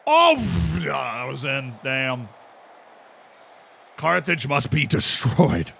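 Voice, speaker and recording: An American man live-streaming a game, commenting excitedly, shouting at big moments and cracking jokes in between. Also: medium pitch, extremely slow, creaky, a little hiss or hum. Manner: excitable, shouts at goals, jokey asides.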